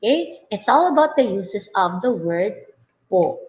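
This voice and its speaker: A Filipino woman teaching over a webcam, talking slowly and warmly encouraging her learners as she goes through each answer. The sound is dull and lo-fi, with a roomy echo around her voice. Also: mid-range voice, sing-song. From Mindanao, Philippines. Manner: encouraging, reads items aloud then explains. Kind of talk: online lesson.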